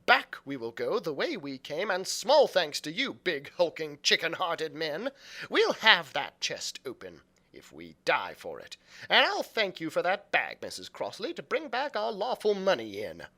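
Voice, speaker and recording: A man's voice: on the high side, wildly swooping pitch, a little slow, pushed and bright, pitched above the performer's own voice, full character voice. Man playing a bold, scornful storybook mother. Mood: defiant scorn. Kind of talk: storybook read-aloud.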